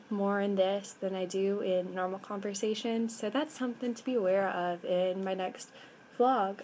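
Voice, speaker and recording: A woman's voice: medium pitch, a little mellow, a little hiss or hum, poor audio. Chatty Midwestern woman with frequent ums. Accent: Midwestern American. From American Midwest. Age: 20s. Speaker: vlogger. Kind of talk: casual accent-tag vlog. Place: indoors by a window. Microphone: webcam at arm's length.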